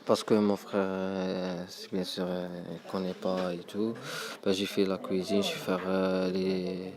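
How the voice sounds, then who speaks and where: A young man with an Algerian accent talks about himself in halting second-language French. His voice is fairly low and very monotone, with long hesitations. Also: a crowd in the background. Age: young adult. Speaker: high school student. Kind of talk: personal account.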